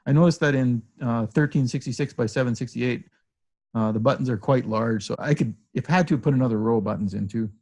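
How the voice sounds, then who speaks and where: Relaxed joking man on a video call. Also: mid-range voice, a little fast. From Alberta, Canada. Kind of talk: casual video-call chat.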